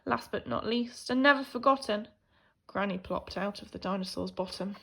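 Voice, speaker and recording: A woman teacher reading a children's picture book aloud in an animated, theatrical way, swinging between hushed and emphatic delivery. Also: mid-range voice, normal speed, gravelly, breathy edge. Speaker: primary school teacher reading a story.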